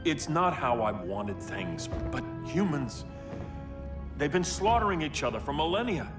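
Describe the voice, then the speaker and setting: A man playing a grim, self-righteous superhero turned ruler in a dramatic video game, speaking gravely and with command. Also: a little high, big pitch swings, a little slow. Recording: echoey, distant, music behind.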